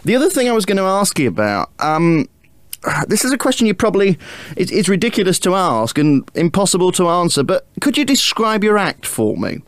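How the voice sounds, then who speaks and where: Bloke doing a radio interview, highish voice, really up and down, dry studio sound. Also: male voice, sing-song, a little fast, dry recording. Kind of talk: conversational interview questioning.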